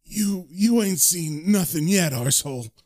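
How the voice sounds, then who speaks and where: Defiant British trickster, done by a male storyteller, higher-pitched, sardonic, slangy and taunting. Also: lively intonation, moderate pace, a little mellow, no echo. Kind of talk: dramatic comic-book storytelling character voice.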